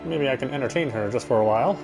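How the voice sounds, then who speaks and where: A man's voice: mid-range voice, fast, over music, close to an everyday voice, low-quality recording. A man playing a wry, self-justifying British husband, confiding his brooding inner monologue as first-person game narration. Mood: puzzled, wry.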